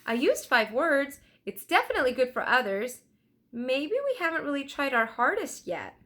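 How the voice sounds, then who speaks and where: An eager, tender-hearted young girl from a storybook, voiced by a woman reading aloud. Her voice sits high and moves up and down a lot, earnest and insistent in every phrase. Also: sing-song, moderate pace, fairly bright tone. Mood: excited.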